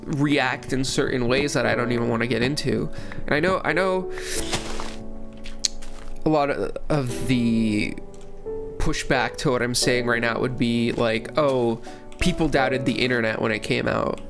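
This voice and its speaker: A man's voice: medium pitch, measured pace, creaky, over music. Congested young man rambling over gameplay. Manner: rambling, restarts phrases, many fillers. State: congested from a cold. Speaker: gaming channel host. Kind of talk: casual commentary while playing.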